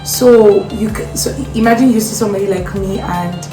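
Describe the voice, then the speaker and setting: A young Nigerian woman with a Nigerian accent chats with an outspoken, animated manner, dropping into Pidgin now and then. Her voice sits at an ordinary middle pitch. The room is echoey, with clear music playing underneath. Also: normal speed. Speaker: vlogger host. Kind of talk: casual chat. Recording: roomy sound with music behind.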